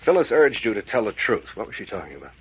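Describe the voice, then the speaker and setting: An actor playing a hard-nosed captain in an old-time radio mystery, questioning suspects in a brusque, sarcastic, relentless way on an old recording. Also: male voice, on the low side, sing-song, quick pace, dull audio, a little hiss or hum.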